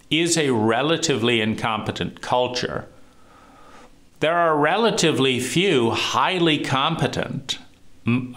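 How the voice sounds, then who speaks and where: A man with a deep voice giving a reflective monologue, talking slowly and deliberately with frequent pauses and fillers. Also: fairly bright tone. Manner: slow, deliberate, pausing often with fillers. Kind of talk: reflective monologue on trauma and psychology.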